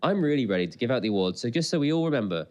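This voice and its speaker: Animated podcast host with a cold. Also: mid-range voice, racing. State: has a cold. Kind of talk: casual unscripted chat about a TV episode.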